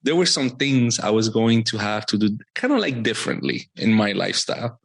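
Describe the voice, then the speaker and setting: A man's voice: on the low side, big pitch swings, fairly quick, vocal fry. Man talking earnestly. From Puerto Rico. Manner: earnest, reflective, uses fillers. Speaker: podcast guest. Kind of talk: casual interview talk.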